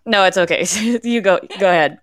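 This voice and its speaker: A woman's voice: mid-range voice, normal speed, dry recording, studio quality. A woman co-host chatting casually as she introduces a podcast. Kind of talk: casual chat.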